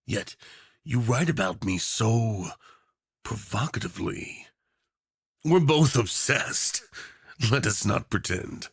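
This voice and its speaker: Male actor as obsessive tyrant, audio roleplay villain, mock-polite, playful menace, swooping pitch, low fry, flirtatious drawl. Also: animated, slow, long pauses, no room sound, studio quality, exaggerated voice. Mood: seductive, obsessive. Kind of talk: romantic villain ASMR roleplay.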